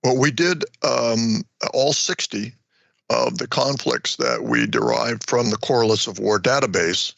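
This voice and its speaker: An older American man giving explanatory interview answers as an academic guest, halting and often repeating words as he goes. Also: mid-range voice, expressive, creaky, fairly bright tone, no echo, dull audio. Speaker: retired war college professor, research guest.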